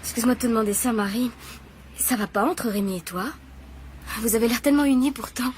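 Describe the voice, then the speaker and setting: A woman's voice: fairly high pitch, fast talker, a little mellow, a little hiss or hum, lightly acted. An actress playing a timid, devoted woman who is easily hurt, hesitating and repeating her words in a French radio drama. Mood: casual, guarded.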